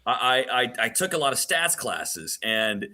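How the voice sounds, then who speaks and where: A man's voice: mid-range voice, very animated, a little slow, sharp tone. A man who works as a radio play-by-play announcer, giving casual interview answers about sport, often repeating words and using colorful slang.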